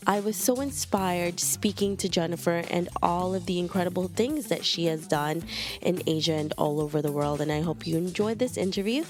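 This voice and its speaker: A woman's voice: on the low side, moderate pace, faint music behind. A woman hosting a podcast, reading an enthusiastic scripted intro and asking questions. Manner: upbeat and enthusiastic. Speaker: podcast host.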